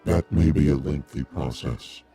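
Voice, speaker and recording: An actor playing a courteous giant alien emissary in a radio drama, speaking formally with measured, polite detachment. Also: male voice, rumbling low, fairly even intonation, mellow, breathy edge, faint music behind, full character voice.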